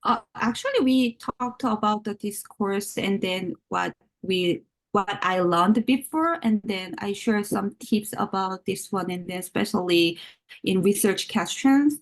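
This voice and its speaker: A woman graduate student reporting back to her class, speaking in a halting way with simple phrasing. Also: mid-range voice. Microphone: video call.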